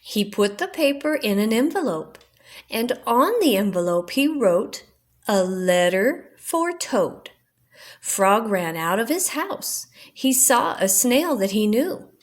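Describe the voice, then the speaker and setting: An older woman reads a children's storybook aloud. Her voice is on the high side, and she takes her time while letting the pitch rise and fall freely. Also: very animated, measured pace.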